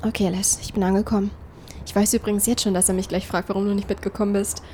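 Eine Schauspielerin spielt in einem Hörspiel eine junge, unsichere, lockere Frau, die umgangssprachlich mit englischen Einsprengseln redet. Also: female voice, mid-range voice, little pitch movement, fast talker, heavy background noise, lightly acted. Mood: mildly annoyed. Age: young adult. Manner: colloquial youth slang with English bits. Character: Daya, young woman, anxious, self-doubting, casual, caring. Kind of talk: naturalistic audio drama acting.

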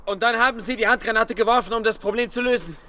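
Insistent, high-pitched military investigator. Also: male voice, quick pace, sharp tone. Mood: pressing. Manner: pressing, formal questioning. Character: insistent male military investigator, urgent and probing. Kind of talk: naturalistic German radio drama acting.

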